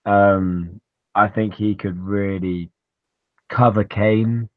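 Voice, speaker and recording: A man's voice: lowish voice, fairly even intonation, takes it slowly, no echo. A man co-hosting a fantasy football podcast, chatting informally about football with some swearing, over a call. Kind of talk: casual football chat. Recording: dull, lo-fi call audio.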